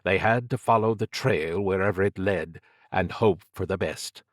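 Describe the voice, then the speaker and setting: A man's voice: sits low, moderate pace, dry recording, studio quality. A man reading scripted dramatic fiction as an audiobook narrator.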